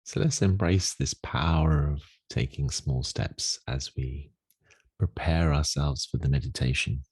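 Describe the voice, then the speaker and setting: A man leading a guided meditation in a gentle, unhurried, soothing manner with long pauses. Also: rumbling low, slightly rough, no room sound. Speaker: meditation teacher.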